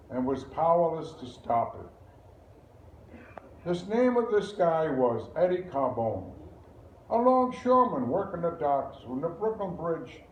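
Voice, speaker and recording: A man's voice: on the high side, slight background noise. Guy performing a musing wry immigrant lawyer onstage, really swoopy delivery, echoey muffled room. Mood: wistful, haunted. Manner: theatrical, musing, deliberate pauses. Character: wry, reflective Italian-born immigrant lawyer narrating a tragedy. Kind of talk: stage monologue.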